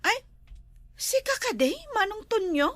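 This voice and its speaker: A young Ilocano woman with a very high voice that swoops and lifts all over. She talks slowly, in a bright, sharp tone, and keeps it playful and giggly. The sound is close and dry. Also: big pitch swings, no room sound. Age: young adult. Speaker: young woman back from the city. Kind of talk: comedy drama acting.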